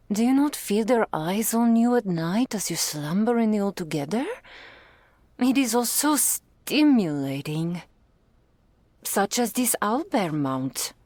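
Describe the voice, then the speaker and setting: A woman's voice: medium pitch, a little mellow, no room sound. A woman playing a wealthy, doting, possessive female dragon in an intimate ASMR roleplay, speaking in grand, refined phrases that slip into whispers. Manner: grandiloquent, slow, affectionate, with breathy whispered phrases.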